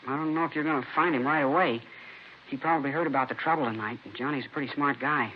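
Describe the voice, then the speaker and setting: A man's voice: medium pitch, fast talker, natural voice. An actor playing a cooperative, slangy seventeen-year-old boy being questioned, in casual 1950s radio drama style. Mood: casual, candid. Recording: muffled vintage radio recording, faint noise.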